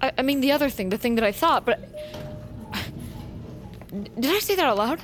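A woman playing a grieving, guarded teenage girl in an audio drama, defensive and halting. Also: high voice, lively intonation, a little hiss or hum. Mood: unsettled. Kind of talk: naturalistic audio drama acting.

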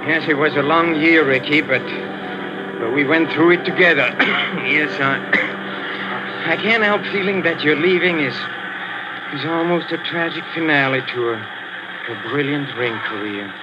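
Sinister old trainer, played by a man: soft and ingratiating, slow with giggles, 1940s radio, hissy and dull. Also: on the high side, lightly acted. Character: sinister, fawning old male boxing trainer.

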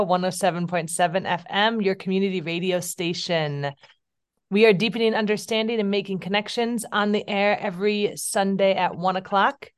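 A woman co-hosts a community radio program in a relaxed, conversational way. Her voice is smooth and clear, and she laughs easily and fills pauses with ums as she works through her ideas. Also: mid-range voice. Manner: chatty, laughs often, thinks aloud with ums. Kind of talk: casual radio chat.

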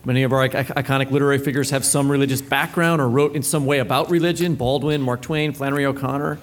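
A man's voice: mid-range voice, fairly even intonation, fast talker. A man co-hosting a live literary interview, informal and thinking aloud as he puts his questions. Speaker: podcast co-host interviewer.

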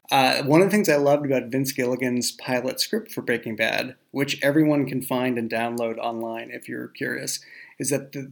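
A man's voice: medium pitch, gravelly. A man talking casually and with enthusiasm as a show creator on a podcast. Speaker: audio drama creator and writer.

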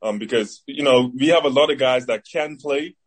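A man's voice: medium pitch, moderate pace, slightly rough. A man in his twenties from the Bahamas with a Bahamian accent, an athlete giving casual interview answers as a guest. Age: 20s. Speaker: interview guest, football player.

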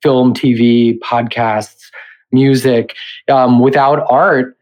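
A man gives a casual, reflective interview as a creative guest. He sounds earnest, pausing and restarting as he searches for the right word, in a mid-range voice. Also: medium pitch, fairly even intonation, dull audio. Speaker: podcast guest, theater and film creative.

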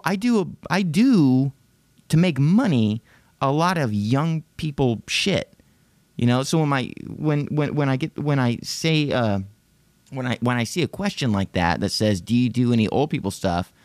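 A man's voice: mid-range voice, animated, a little slow, a little mellow, no room sound. A man in his late thirties, rambling and profane in casual self-deprecating podcast chat. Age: 30s. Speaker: podcast host.

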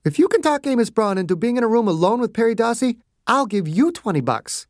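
A narrator voicing a confident, no-nonsense woman doctor, with a much higher voice than the narration. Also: sing-song, dry recording, clean studio sound, close to an everyday voice. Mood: firm. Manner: brisk, firm.

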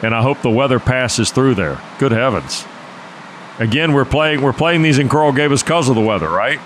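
Male sports radio host, mid-pitched, joking and conversational, faint background noise. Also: expressive, rough recording. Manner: joking, conversational, quick asides. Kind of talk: casual sports radio chat.

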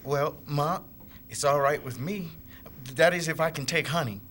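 A man's voice: fairly high pitch, normal speed, fairly bright tone, a little hiss or hum, close to an everyday voice. An actor voicing eager young boy with a horse. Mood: firm. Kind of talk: radio drama acting.

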